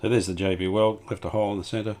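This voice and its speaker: A man with a low voice talks through hands-on restoration work as he goes. His delivery is understated and matter-of-fact, with the unscripted feel of a casual workbench vlog. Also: little pitch movement, fast, a little mellow. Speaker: restorer presenting a hands-on vintage radio repair.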